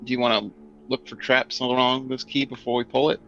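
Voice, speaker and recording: A man's voice: mid-range voice, little pitch movement, fairly quick, a bit bright. A man playing a tabletop game, bantering casually with the group. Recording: dull lo-fi mic, chatter behind.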